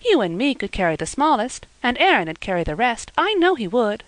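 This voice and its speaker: A woman voicing a gentle, eager young girl, light and earnest. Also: on the high side, sing-song, a little fast, no room sound, voice pushed up.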